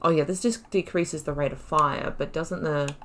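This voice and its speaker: A woman's voice: low voice, fairly even intonation, normal speed, a bit bright, slight background noise. A woman giving casual let's play commentary, chatty and musing, thinking aloud as she plays. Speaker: gaming YouTuber playing through a role-playing game.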